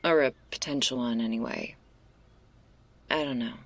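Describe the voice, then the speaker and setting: A woman playing a laid-back, restless stoner werewolf in an intimate ASMR roleplay, husky and gravelly, confiding in a casual, hesitant, slangy way. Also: rumbling low, moderate pace, dry recording.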